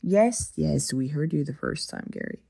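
A woman's voice: low-pitched, lively intonation, normal speed, creaky, mellow, heavily acted. A woman playing a wry, bossy, impatient member of a hero team in an audio drama. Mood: exasperated. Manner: exasperated, wry.

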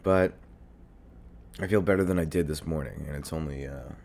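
A man's voice: moderate pace, darkish tone, slight background noise. A young man hosting his own podcast while sick and congested, talking in a rambling, casual way with lots of fillers and swearing, into a desk mic at home. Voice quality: deep hoarse voice. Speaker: podcast host. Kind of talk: casual solo podcast monologue. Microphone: desk mic near a computer.

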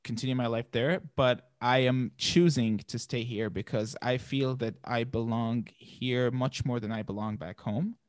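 A young man from Palestine, in his twenties, talks through an easygoing interview, stumbling over and repeating his words and laughing between points. His voice sits at an ordinary middle pitch. The sound is close and dry, with no room around it. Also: fairly even intonation, measured pace, hardly any pauses, no room sound. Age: 20s. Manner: many restarts and repeated words, laughs often. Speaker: guest. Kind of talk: casual interview chat.

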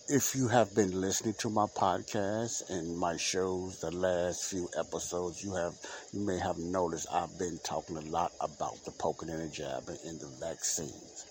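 Very deep-voiced congested man rambling. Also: darkish tone, a little hiss or hum. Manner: rambling, filler-heavy, repeats himself. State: congested, stuffy nose. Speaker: podcast host. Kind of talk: unscripted solo podcast talk. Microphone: home podcast mic, unedited.

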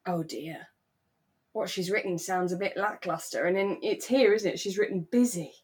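British woman in relaxed podcast chat, lowish voice. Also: normal speed. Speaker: podcast co-host. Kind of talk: relaxed conversational chat about a radio drama.

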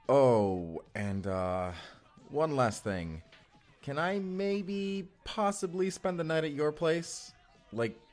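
A man's voice: fairly low pitch, lightly acted. An actor playing an earnest, anxious young man stranded from the future, talking in a hesitant, rambling, conversational way in an audio roleplay. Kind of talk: first-person audio roleplay acting. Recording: music behind the voice.

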